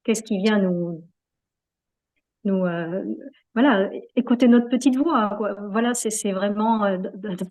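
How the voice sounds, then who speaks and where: A woman's voice: mid-range voice, a little slow, a little mellow. A woman being interviewed in a reflective, conversational way, hesitating often as she searches for her words. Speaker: interview guest, yoga practitioner.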